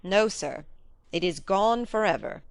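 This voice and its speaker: An eager young baronet from a classic mystery storybook, put on by a woman. The delivery is forthright and plain-spoken, at a middling pitch for her, with a dry, roomless sound. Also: expressive, measured pace, dry recording. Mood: frank, unworried.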